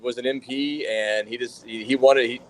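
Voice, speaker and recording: A man's voice: mid-range voice, steady tone, moderate pace, rough recording. Easygoing rambling brewer telling his story. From Florida. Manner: rambling storyteller with frequent fillers and restarts. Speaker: brewery general manager and brewer. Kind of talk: casual podcast interview.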